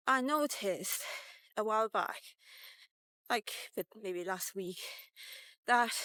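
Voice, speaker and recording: A woman in her fifties speaks as a casual vlogger, candid and inclined to ramble. Her voice is smooth and clear, and she takes her time between phrases. The sound is very dry, with no room echo. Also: on the high side, sharp tone. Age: 50s. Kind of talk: casual walk-and-talk vlog.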